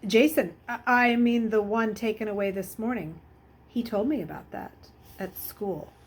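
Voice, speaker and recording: A woman's voice: mid-range voice, moderate pace, slight background noise, lightly acted. A woman voicing an eager, uneasy teenage boy in a storybook, hesitant and full of questions. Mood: flustered. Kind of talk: children's novel read aloud.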